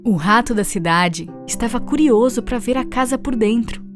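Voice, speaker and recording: A woman's voice: a little high, normal speed. A woman with a Brazilian accent narrating a scripted children's story. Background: music.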